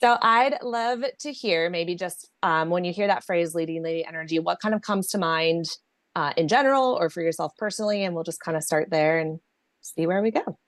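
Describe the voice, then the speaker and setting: Host: woman, lowish voice, dry close sound, affirming and encouraging. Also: brisk, dry recording. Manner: warm, affirming, with lots of backchannel yeses. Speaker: podcast host. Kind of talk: casual interview chat.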